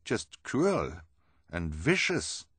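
A man's voice: low voice, huge pitch swings, drawn-out words, a bit bright, husky, dry recording, full character voice. A man voicing an earnest, plain-spoken female carnival contortionist in an audiobook. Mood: disapproving.

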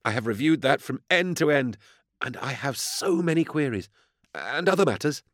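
A man's voice: mid-range voice, expressive, moderate pace, no echo, clean studio sound, pitched above the performer's own voice, close to an everyday voice. A man voicing an eager, earnest young inquisitor in an audiobook, with a gushing, deferential and hesitant manner. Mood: earnest, breathless. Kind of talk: audiobook character dialogue.

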